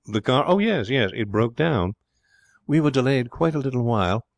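Cool young society woman performed by a male reader, lightened higher voice, airy, cutting, storybook. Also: expressive, normal speed, vocal fry, no room sound. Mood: airy, pointed.

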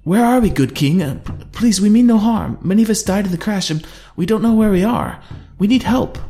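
A man's voice: high voice, expressive, fairly quick, vocal fry, mellow, faint music behind, pitched above the performer's own voice. A man doing a stammering, pleading survivor. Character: shaken male crash survivor, pleading.

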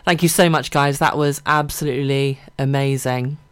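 A woman's voice: very deep voice, steady tone, normal speed, clear, resonant tone, dry recording. A woman presenting live radio in an effusive, informal way. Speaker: radio host.

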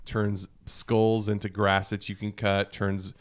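A man in casual conversation about video games as a podcast panelist, explaining things in a halting, thinking-aloud way. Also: on the low side, little pitch movement.

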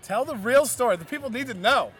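A man co-hosting a podcast interview, joking around in an animated way. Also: extremely high pitch, fast talker, faint music behind. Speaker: podcast co-host. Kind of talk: casual interview banter.

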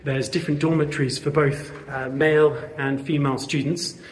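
A man's voice: a little high, flat delivery, normal speed, slight background noise. A man giving an earnest, casual walkthrough of a school building he is fundraising for, with lots of fillers, recorded on a handheld camera indoors. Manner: chatty, earnest, many fillers. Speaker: volunteer fundraiser showing a school. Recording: echoey hall, long reverb.

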